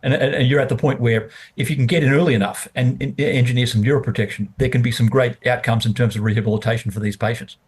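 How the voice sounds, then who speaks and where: A man's voice: medium pitch, quick pace, hardly any pauses, slightly rough. A man interviewing a company CEO, asking long questions and sometimes cutting in. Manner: lively, talks over the guest.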